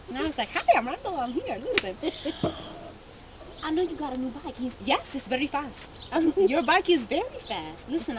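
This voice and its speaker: A woman telling a comic anecdote casually to friends, animated and giggly, acting out the dialogue. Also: high voice. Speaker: amateur storyteller. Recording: very noisy amateur room recording.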